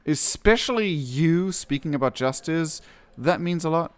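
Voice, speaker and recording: A man streaming a game, reading quest text aloud and reacting casually, with excitable exclamations and hesitant, self-correcting commentary. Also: fairly high pitch, a little slow, smooth. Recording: music behind the voice. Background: game music.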